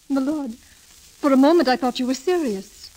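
A woman's voice: high voice, slow pace, darkish tone, rough recording. An actress playing an earnest, refined young noblewoman in 1930s radio drama style. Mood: amused. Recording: old 1930s radio broadcast recording.

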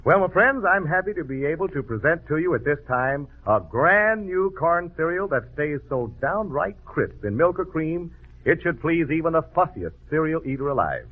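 A man's voice: very animated, moderate pace. A man announcing in 1940s radio commercial style, selling emphatically in a folksy way. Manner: emphatic, folksy sales pitch. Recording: tinny vintage radio broadcast.

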